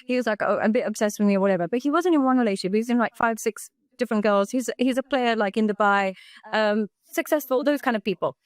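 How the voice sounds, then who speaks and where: Fast-talking woman with hesitant speech. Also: on the high side, no echo. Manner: hesitant, full of fillers and restarts. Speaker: interviewee. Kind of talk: recorded call interview.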